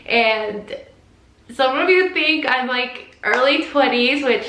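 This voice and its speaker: A woman's voice: high voice, animated, slow pace, pushed and bright. A woman in her thirties talking candidly and emotionally in a personal vlog, rambling a little as she shares her feelings. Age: 30s. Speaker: vlogger. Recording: roomy sound with faint noise.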